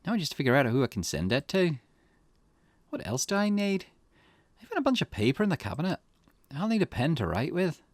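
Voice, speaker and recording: Man voicing a musing, wildly animated game investigator. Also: fairly high pitch, a little fast, pauses often, no room sound. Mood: curious, excited. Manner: musing, questioning, swooping intonation. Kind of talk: visual novel character reading. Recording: music behind the voice.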